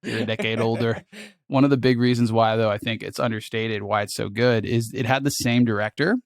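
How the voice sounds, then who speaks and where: A sick man with a raspy voice, co-hosting a podcast in a laid-back, joking way. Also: lowish voice, steady tone, brisk, no room sound, studio quality. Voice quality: raspy, gravelly. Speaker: podcast co-host. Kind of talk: casual podcast chat.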